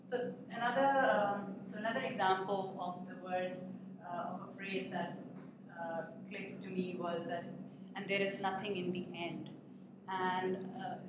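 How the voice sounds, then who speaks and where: Hesitant woman artist in an echoey room. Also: mid-range voice. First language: Urdu. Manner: hesitant, thoughtful, many filler sounds. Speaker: visual artist. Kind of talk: artist talk about her work. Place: art gallery. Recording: very echoey room with steady background noise.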